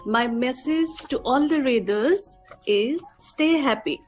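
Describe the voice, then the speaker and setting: A woman's voice: high voice, slow pace, soft background music. A woman giving a friendly short message to readers. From Assam, India.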